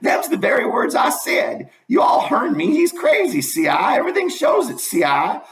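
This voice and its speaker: A man voicing a shrill, gossiping old farm woman who insists on repeating herself. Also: wildly swooping pitch, moderate pace, a bit bright, reverberant, heavily acted. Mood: indignant.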